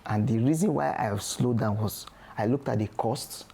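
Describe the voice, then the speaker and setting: A gravelly, dark-toned man talks through his thoughts, hesitating with plenty of ums. His speech comes slow and halting, restarting phrases as he goes. A faint hiss of background noise sits under the voice. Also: lowish voice. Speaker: guest father. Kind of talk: candid podcast conversation.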